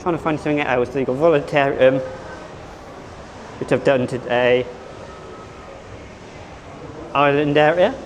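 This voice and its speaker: A man vlogging at a theme park, rambling and unsure of himself, hoarse as he is losing his voice. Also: mid-range voice, measured pace, pauses often, a little hiss or hum, rough recording. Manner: rambling, self-correcting, unsure. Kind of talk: casual vlog commentary.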